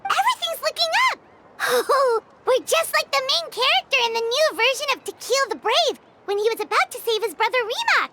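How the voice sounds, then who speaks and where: A woman voicing a tiny, chatty, excitable fairy-like companion in an anime video game, with a squeaky childlike voice. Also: normal speed, very noisy. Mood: bubbly, excited. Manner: speaks of self in third person, bubbly.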